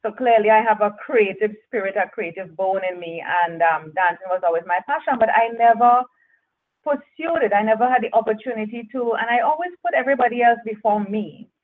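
A woman's voice: a little high, fairly quick. A woman from Trinidad and Tobago, a guest author chatting over the phone, laughing often and repeating her phrases. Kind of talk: casual interview over the phone. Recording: tinny phone line.

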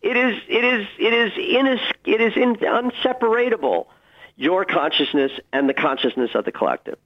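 Male astrologer guest, enthusiastic and emphatic, very lively intonation, slightly muffled recording. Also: high voice, moderate pace. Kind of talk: radio interview, conversational advice.

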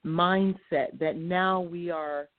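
Soft-spoken woman caller, earnest and rambling, tinny phone line, dry sound. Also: on the low side, fairly even intonation, takes it slowly, no echo. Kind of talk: call-in commentary.

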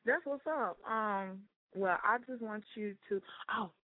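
A woman's voice: mid-range voice, a little slow, dry recording, phone-quality sound. A woman hosting a radio interview, chatty, dropping frequent "okay"s and "um"s. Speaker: radio show host.

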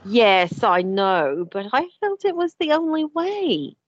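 A woman's voice: on the high side, very animated, slow, exaggerated voice. Woman playing a nosy, impulsive middle-aged daughter. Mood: defensive. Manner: defensive, laughing. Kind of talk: amateur audio drama acting. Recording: dull lo-fi dry recording.

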